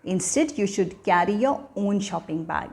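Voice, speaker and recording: A woman giving an educational talk for children, speaking in a deliberate, teacherly manner as a storyteller and host. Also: mid-range voice, lively intonation, a little mellow. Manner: teacherly, deliberate, asking questions then answering them.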